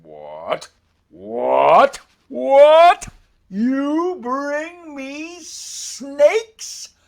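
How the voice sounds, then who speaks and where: A man voicing an imperious, suspicious storybook king, commanding and theatrical, quick to anger. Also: on the high side, very animated, husky, voice pushed up, heavily acted. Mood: outraged.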